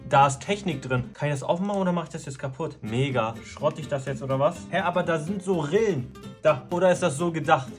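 A man in his twenties chatting casually to camera as a vlogger, in an animated, slangy way. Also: on the high side, brisk, faint music behind. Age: 20s.

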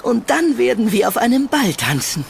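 Man playing haunted, lively-pitched young David. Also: normal speed, creaky, a little hiss or hum, full character voice. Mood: charming, playful. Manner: earnest, pleading. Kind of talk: horror audio drama acting.

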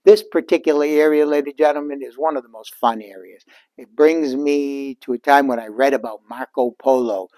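A man's voice: medium pitch, brisk, warm tone, no echo. An older man hosting a walking tour of a large furniture showroom, talking to viewers in an enthusiastic salesman's patter through a lapel mic.

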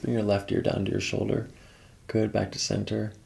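A man's voice: fairly low pitch, completely flat delivery, normal speed, vocal fry, a little mellow. A middle-aged man working as a chiropractor, giving soothing, instructive directions to a patient during a hands-on exam. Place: small carpeted treatment office.

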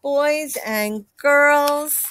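A woman's voice: sits high, extremely slow, a bit bright, a little hiss or hum. A middle-aged woman, a teacher telling a picture-book story expressively to children. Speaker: teacher reading aloud to children. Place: classroom, indoors.

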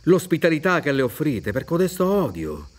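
A man acting in a radio drama as a concerned village doctor, polite, measured and questioning. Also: fairly high pitch, big pitch swings. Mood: probing. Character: concerned, courteous village doctor, calmly probing.

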